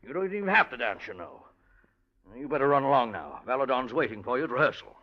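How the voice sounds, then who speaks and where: A man's voice: mid-range voice, sing-song, fast, heavy background noise, natural voice. A man playing a firm, condescending company manager. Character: Cecil Wenham, smooth, firm male ballet manager. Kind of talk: 1940s radio acting. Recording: muffled old radio recording.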